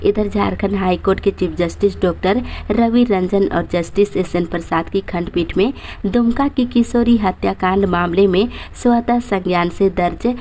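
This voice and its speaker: A woman reads the news from a script at a medium pitch. Her delivery is formal and steady, like an announcer moving through a bulletin of regional headlines. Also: moderate pace, poor audio. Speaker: news reader.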